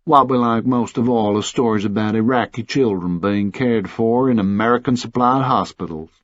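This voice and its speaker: A man voicing a cold, formal Texan official in an audiobook, measured, condescending and threatening. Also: fairly low pitch, dry recording, dull audio, close to an everyday voice.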